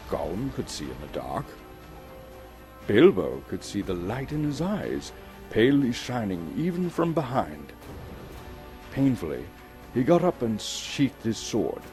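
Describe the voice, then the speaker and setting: A man's voice: on the low side, dark tone. A man from Canada giving a dramatic scripted narration of a fantasy story as an actor and storyteller. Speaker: storyteller, actor reading a novel.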